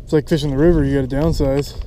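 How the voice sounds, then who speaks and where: A man's voice: mid-range voice, fairly even intonation, rapid-fire, mellow, low-quality recording. A man giving casual running commentary while fishing, muttering and cursing mildly at the fish, recorded outdoors on a head-mounted camera. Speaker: fishing vlogger. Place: outdoors on a creek and lake bank. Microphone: head-mounted action camera. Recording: outdoor wind and water noise.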